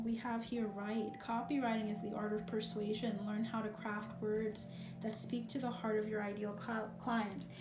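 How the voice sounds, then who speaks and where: A woman giving a pick-a-card tarot reading, talking in a gentle, reassuring, musing way. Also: mid-range voice. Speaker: tarot reader. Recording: echoey room with noise.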